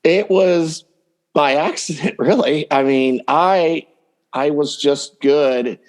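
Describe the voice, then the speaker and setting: A man's voice: a little high, slow. A man talking casually and explaining his coaching work as a guest in an interview. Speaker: dating coach, podcast guest.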